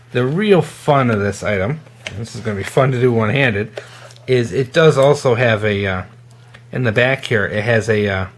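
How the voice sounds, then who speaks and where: Casual man demonstrating gear. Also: mid-range voice. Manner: informal, halting, hands-on explaining. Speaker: gear reviewer.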